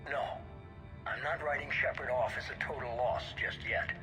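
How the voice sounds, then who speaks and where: An actor playing a cold, measured, commanding leader of a shadowy organisation in a video game. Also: male voice, mid-range voice, pushed and bright, lightly acted, low-quality recording. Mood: measured, calculating. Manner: measured, authoritative, dismissive. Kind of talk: video game cutscene acting. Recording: music behind the voice.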